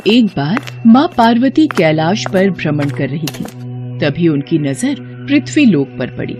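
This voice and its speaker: A woman tells a scripted devotional story with a lively, varied pitch. Soft music sits behind her narration. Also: a little slow. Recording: faint music bed.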